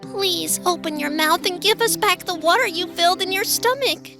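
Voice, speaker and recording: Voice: very high-pitched, moderate pace, gravelly, over music. A performer voicing a small, anxious young animal in a children's story, earnest and pleading. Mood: worried, pleading. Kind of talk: children's storybook character acting.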